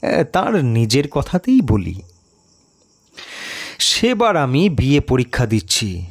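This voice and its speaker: Slow, expressive male storyteller. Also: mid-range voice. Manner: measured, expressive storytelling with pauses. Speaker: story narrator. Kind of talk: dramatic audiobook narration of a first-person mystery tale.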